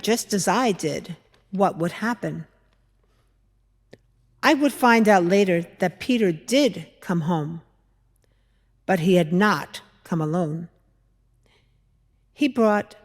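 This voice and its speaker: Woman playing slow-speaking biblical widow, echoing church. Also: medium pitch. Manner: measured, expressive storytelling with deliberate pauses. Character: Peter's earnest mother-in-law, a biblical widow recalling miracles. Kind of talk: dramatic monologue reading in church. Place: large church, indoors. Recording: echoey church hall, long reverb.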